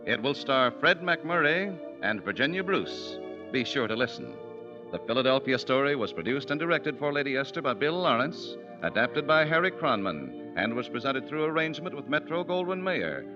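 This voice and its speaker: Male golden-age radio announcer, formal credits read, dull vintage recording with music beneath. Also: mid-range voice. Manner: measured, formal. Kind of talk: 1940s radio closing credits.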